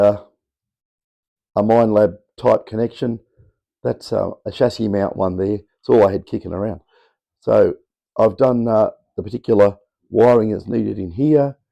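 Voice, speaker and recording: Croaky Australian man in his workshop. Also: on the low side, mellow. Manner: rambling, offhand, chatty explaining. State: croaky from talking all day. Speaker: electronics hobbyist host. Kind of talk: casual workshop demo. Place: cluttered home workshop, indoors. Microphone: camera mic on tripod.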